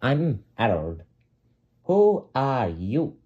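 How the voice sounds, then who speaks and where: Stuck-up storybook helicopter, male, played by a man, unhurried, dismissive and boastful, mellow tone. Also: medium pitch, sing-song, muffled recording. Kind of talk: children's storybook character voice.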